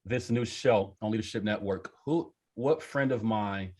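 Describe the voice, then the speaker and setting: A man's voice: on the low side, measured pace, a little mellow, breathy edge. Playful man hosting a church podcast. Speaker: podcast host. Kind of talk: podcast interview.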